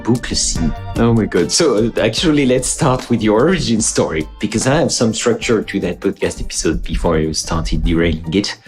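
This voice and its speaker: A man's voice: on the low side, expressive, fairly quick, few pauses, soft background music. A man, hosting a podcast interview in a playful, joking way and laughing often. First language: French. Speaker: podcast host. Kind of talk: casual interview chat.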